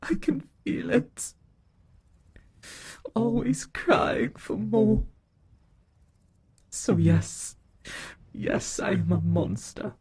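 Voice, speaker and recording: A woman playing a monstrous huntress near death in a gothic audio drama, husky and breathy, speaking in a slow, halting, weary way. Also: medium pitch, animated, dark tone, full character voice. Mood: anguished, resigned. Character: dying monstrous hunter woman, bitter yet tender kin.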